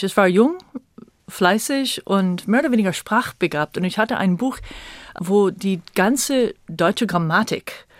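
A woman's voice: lowish voice, no room sound, clean studio sound. A middle-aged woman from the United States, a native English speaker with an American accent, reminiscing conversationally as an interview guest. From Virginia, United States.

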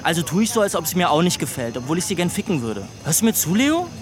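A man's voice: on the high side, very animated, quick pace, over music. A man playing a crude, frustrated, self-loathing teenage boy who rambles in whiny slang in a radio drama. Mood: confiding, insecure. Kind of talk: colloquial radio drama dialogue.

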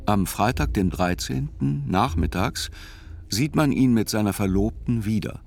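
An actor narrating as a measured, dryly ironic chronicler of a trial in a literary radio play. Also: male voice, lowish voice, animated, gravelly, a little mellow. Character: probing, wry male chronicler of a trial.

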